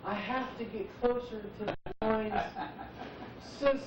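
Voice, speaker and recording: A man in his forties speaking as a poet at an open mic, musing reflectively and unhurriedly with dramatic pauses. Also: high voice, fairly even intonation, a crowd in the background. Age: 40s. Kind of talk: live spoken-word musing. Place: live open mic venue, roomy. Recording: muffled noisy VHS recording, echoey, distant.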